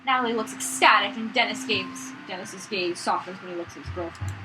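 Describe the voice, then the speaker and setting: A woman reading a visual novel aloud and bantering casually, with animated, slangy reactions. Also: medium pitch, fairly quick, over music. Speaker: let's play co-host. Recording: noisy makeshift mic, room ambience.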